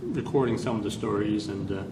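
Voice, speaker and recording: A man from Canada talks through his family's past in a halting way, filling pauses with "uh" and restarting phrases. His voice sits a little low and sounds far from the mic. The room echoes, and a steady noise runs behind him. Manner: hesitant, many fillers and restarts. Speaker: interviewee. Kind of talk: personal history interview.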